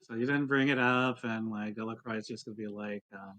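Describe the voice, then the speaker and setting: A man's voice: lowish voice, fairly even intonation, brisk, sharp tone. A man playing a tabletop roleplaying game, chatting casually about the rules and the action. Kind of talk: casual chat and rules talk.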